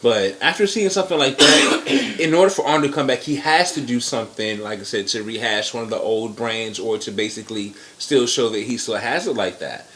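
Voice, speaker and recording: A man giving blunt, profane opinions in casual chat as co-host, with sudden jumps in pitch. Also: brisk, fairly bright tone.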